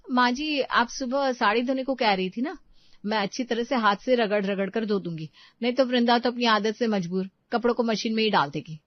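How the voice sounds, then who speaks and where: A woman's voice: on the high side, moderate pace, no room sound, highs cut off, natural voice. A woman narrator voicing a young, dutiful but touchy daughter-in-law in a cartoon story. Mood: eager, dutiful. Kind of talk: Hindi cartoon story dialogue.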